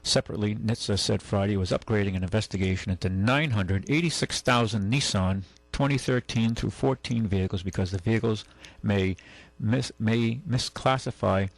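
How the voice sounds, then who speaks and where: Male talk-radio host, deep and gravelly, loose halting delivery, close dry studio sound. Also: no room sound, poor audio. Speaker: radio call-in show host. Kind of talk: casual talk radio, reading news and chatting. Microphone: close studio microphone.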